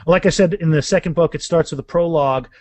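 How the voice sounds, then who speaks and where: A man's voice: on the high side, fast, darkish tone, no room sound. A man chatting casually as a guest author, emphatic and often repeating words as he explains. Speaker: guest author discussing his books. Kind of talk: casual animated interview chat.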